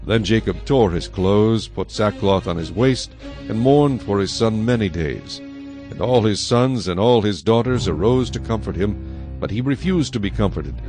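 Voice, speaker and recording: A man's voice: on the low side, lively intonation, moderate pace, darkish tone, soft background music. A man reading scripture as the narrator of a dramatized audio Bible.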